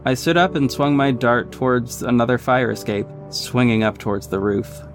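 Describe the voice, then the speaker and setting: A man's voice: medium pitch, steady tone, moderate pace, lightly acted. Man acting a young vigilante superhero over music. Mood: earnest narrating. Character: young male vigilante superhero, cocky, caring, teasing. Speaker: lead actor and narrator of an audio drama. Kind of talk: scripted first-person narration and acted dialogue. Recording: music behind the voice.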